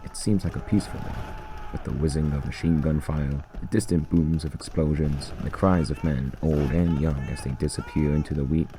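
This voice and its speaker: A man's voice: extremely low pitch, background noise. A man reading his own first-person short story as scripted narration.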